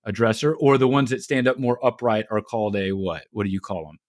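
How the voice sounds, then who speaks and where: A man from Texas hosting a casual interview podcast, talking with lively emphasis and often repeating words as he starts a sentence. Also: fairly low pitch, fairly quick, no echo, studio quality. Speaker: podcast host.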